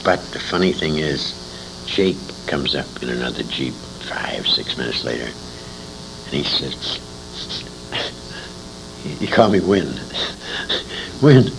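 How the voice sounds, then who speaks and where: An older male veteran with a low, gravelly voice recounts his memories in an interview. His delivery swings widely in pitch as he haltingly and emphatically acts out who said what, with salty language. It is an amateur tape with clear background noise. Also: very animated. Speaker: war veteran being interviewed. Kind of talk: anecdotal storytelling in an interview. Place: indoor interview at a convention. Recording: noisy amateur interview tape with room ambience.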